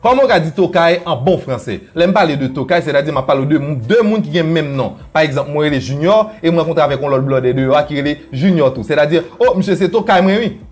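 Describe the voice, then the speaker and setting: A man with a Haitian Creole accent teaching a playful video lesson, often dropping into low asides and jumping high for quoted voices. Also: lively intonation, brisk, few pauses, soft background music. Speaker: language teacher.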